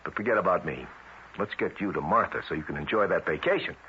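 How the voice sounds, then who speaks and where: A man's voice: low voice, fast talker, close to an everyday voice. An actor playing a tough but reassuring government lawman in a radio drama, wry and easygoing. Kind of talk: 1970s radio drama acting. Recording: muffled, hissy old radio broadcast.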